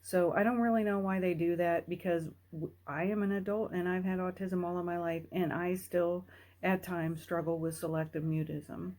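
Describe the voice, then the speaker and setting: A woman hosting a personal talk, speaking earnestly and deliberately with pauses between phrases. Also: fairly low pitch, steady tone, darkish tone. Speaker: host.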